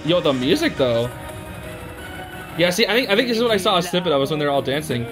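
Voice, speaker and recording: Casting: young male reaction host, high and very lively delivery, excitable slang. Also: quick pace. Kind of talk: casual live reaction commentary. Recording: music playing behind the voice. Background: stage show music.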